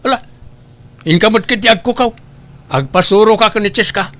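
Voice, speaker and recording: A man's voice: high voice, moderate pace, a bit bright. A man with an Ilocano accent playing a nagging, grumbling older father in a comedy drama. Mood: scolding. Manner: complaining, scolding. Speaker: drama actor.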